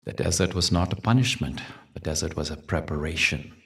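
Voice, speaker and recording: A man with a deep, low voice leads a guided meditation. He speaks gently and deliberately, pausing for effect as he tells stories and soothes the listener. His voice sits a little distant from the microphone. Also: gravelly. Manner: gentle, deliberate, soothing delivery with dramatic pauses. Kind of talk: guided meditation talk with storytelling.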